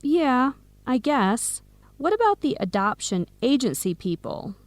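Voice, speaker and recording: A practical young woman who gives her friend frank advice in a radio drama. Her intonation swings in a lively way, and the sound is very dry. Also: high-pitched, unhurried, dry recording. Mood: doubtful, probing. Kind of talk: radio drama acting for English learners.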